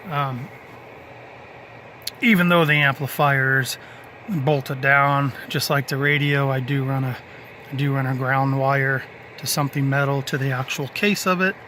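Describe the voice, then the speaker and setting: A man's voice: mid-range voice, fairly even intonation, measured pace, bright, forceful tone, slight background noise. A man giving a casual, unscripted hands-on walkthrough of an installation, explaining things in a matter-of-fact way. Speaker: hobbyist presenter showing a radio installation.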